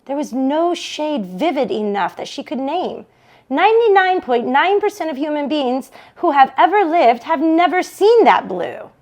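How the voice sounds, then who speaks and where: A woman with a fairly high voice reads a novel aloud as a read-along host. Her delivery is expressive, shaped for listeners following the story online. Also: measured pace. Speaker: book club read-along host for a bookstore.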